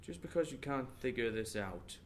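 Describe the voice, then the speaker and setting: A man's voice: medium pitch, expressive, normal speed, slight background noise, lightly acted. A man playing an earnest, exasperated investigator, a detective's indignant companion, in an audio drama. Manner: indignant, questioning.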